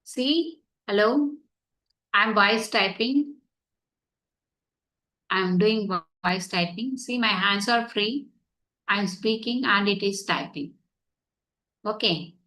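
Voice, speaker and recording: Bright-voiced woman teaching online. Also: mid-range voice, takes it slowly, pauses often, rough recording. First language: Tamil. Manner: explains step by step, checks if students follow. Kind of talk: online class demonstration. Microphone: computer mic on video call.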